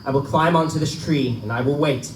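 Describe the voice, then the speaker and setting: A man's voice: on the high side, a little fast, lightly acted. A man playing an uneasy but defiant hunter who has become the prey, in a live theatrical horror drama. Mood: tense resolve. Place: live performance in a bookstore. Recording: distant, echoey live room recording with noise.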